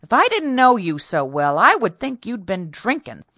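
A woman narrator voicing an indignant, bossy mistress of a household in a storybook, with a sharp, demanding manner. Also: mid-range voice, sing-song, normal speed, no room sound, voice pushed up. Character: indignant, peremptory mistress of a household, middle-class country wife.

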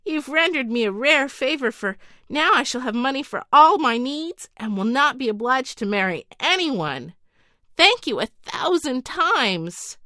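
A woman's voice: high voice, lively intonation, unhurried, sharp tone, no room sound, studio quality, pitched above the performer's own voice, exaggerated voice. A woman voicing a young boy king who is polite but firm and commanding, in a storybook reading. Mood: grateful.

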